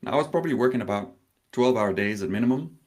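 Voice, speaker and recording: A man from Denmark, in his thirties, giving a live online conference talk, telling a story slowly with pauses and a touch of nerves. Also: mid-range voice, animated. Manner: warm storytelling with pauses, slightly nervous. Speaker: conference speaker.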